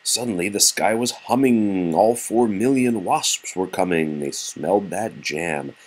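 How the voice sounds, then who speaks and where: A man with a husky voice reads a children's picture book aloud expressively to young children, with casual, patient asides. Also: on the low side, animated, a little slow.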